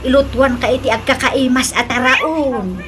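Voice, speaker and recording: Woman playing a high-pitched, lovestruck elderly mother. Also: moderate pace, noisy background, natural voice. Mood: coquettish. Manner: coquettish, insistent, dramatic. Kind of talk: comedic radio drama acting.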